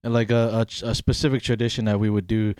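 Relaxed man from Hawaii, dry close sound. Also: fairly low pitch, fast, dry recording. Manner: relaxed, rambling, often says "you know what I mean". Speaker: podcast host. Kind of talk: casual podcast chat.

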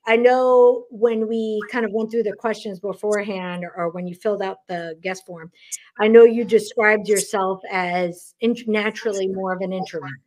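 A woman hosting a podcast interview, talking quickly in a chatty way with many restarts. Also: mid-range voice, steady tone, a little mellow, rough recording. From New York. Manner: fast, chatty, frequent restarts and fillers. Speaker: podcast host. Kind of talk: casual interview chat.

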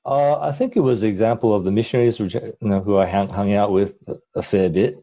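Low, dark-voiced man telling stories. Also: fairly even intonation, fast, muffled recording. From Malaysia. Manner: rambling, many fillers and restarts. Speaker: interview guest. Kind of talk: casual conversational storytelling.

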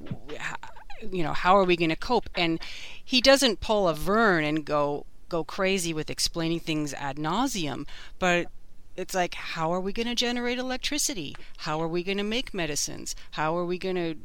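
A man with a bright, crisp voice talks as a co-host on a book discussion. He explains things in an animated, casual way, often throwing out rhetorical questions. His delivery is enthusiastic and clean. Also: sits high, moderate pace, no room sound. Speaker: talk show co-host.

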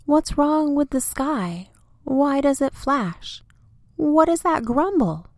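A woman's voice: animated, slow, darkish tone, no room sound, studio quality, exaggerated voice. A woman doing a small frightened little tree. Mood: alarmed. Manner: high, pleading and fearful. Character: small scared young male tree.

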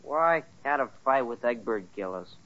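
An actor playing an earnest, loyal schoolboy son in a 1940s radio sitcom, hesitant and boyish. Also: male voice, mid-range voice, animated, normal speed, lightly acted. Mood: sheepish, loyal. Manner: hesitant, gee-whiz boyish. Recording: dull vintage radio recording.

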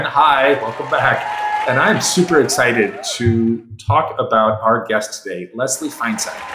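A man hosting an interview podcast who greets listeners with enthusiasm and reads out a guest's long introduction. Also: medium pitch. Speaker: podcast host. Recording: echoey room with faint music.

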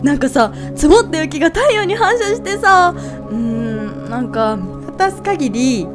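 A woman playing a sweet, excitable young girlfriend who gushes with drawn-out words in an amateur romantic radio drama. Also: high-pitched, music in the background. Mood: dreamy.

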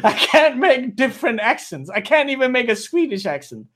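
Laughing Danish-accented man, high voice. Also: sing-song, moderate pace, a bit bright, a little hiss or hum. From Denmark. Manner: laughs often, stammering restarts. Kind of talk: casual conversation.

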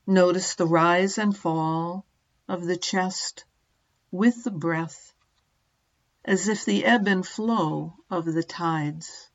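A middle-aged woman narrating a guided meditation as a teacher, gently and in short measured phrases with long pauses. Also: lowish voice, muffled recording.

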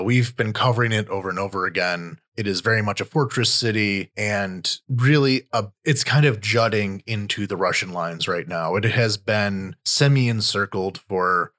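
Podcast host, male, lowish voice, relaxed commentary that restarts mid-sentence. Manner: loose, thinking aloud with frequent restarts. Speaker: podcast host and war reporter. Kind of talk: conversational news commentary.

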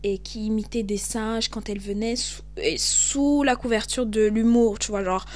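A young woman from Cameroon with a Cameroonian French accent hosts a podcast, talking in an animated, emphatic way. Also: on the high side, moderate pace. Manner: animated, emphatic, switches into English. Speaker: podcast host. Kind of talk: casual monologue.

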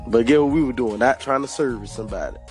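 A man in his fifties co-hosting a podcast, hoarse from a cold, laid-back and quick to laugh. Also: mid-range voice, music in the background. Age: 50s. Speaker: podcast co-host. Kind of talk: casual podcast banter.

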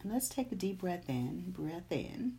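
Slow, soothing low-voiced woman guiding meditation. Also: sing-song, creaky, dark tone. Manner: slow, soothing counting and affirmations. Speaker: meditation guide. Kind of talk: guided breathing meditation.

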